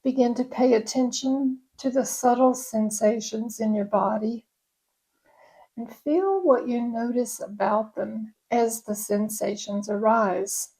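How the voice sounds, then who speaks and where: A woman yoga nidra instructor leading a guided meditation in a gentle, soothing, unhurried way. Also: a little high, slightly rough, low-quality recording.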